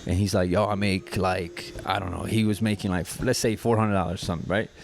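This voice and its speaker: A man's voice: low-pitched, fairly even intonation, fairly quick, vocal fry, a little hiss or hum. Laid-back man in his thirties, telling stories. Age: 30s. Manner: storytelling, laid-back, says "you know what I'm saying" often. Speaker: podcast guest, barber. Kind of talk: casual podcast chat.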